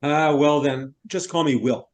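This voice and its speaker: A man's voice: on the high side, measured pace, a little mellow, muffled recording, close to an everyday voice. A man playing an effusive, wordy middle-aged novelist from New York in a theatrical stage reading. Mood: chatty. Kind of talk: stage reader's theater acting.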